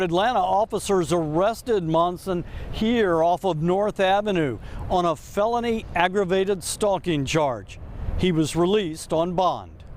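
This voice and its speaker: Higher-pitched American man reporting the news. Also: slight background noise. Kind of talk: scripted news narration.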